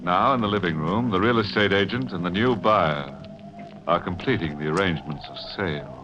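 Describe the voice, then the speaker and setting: A man playing a ghoulish, mock-genial host of an old radio horror show, with a drawn-out theatrical delivery. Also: low voice, noisy background, lightly acted. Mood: ominous. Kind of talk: 1940s radio horror narration. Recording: dull old radio recording with music.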